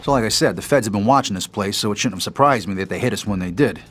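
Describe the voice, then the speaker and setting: A man's voice: lowish voice, quick pace, very noisy, close to an everyday voice. A man playing a tough, streetwise New mobster who narrates his story in a game cutscene, hard-edged and profane. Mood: grim recollection. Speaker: narrating protagonist.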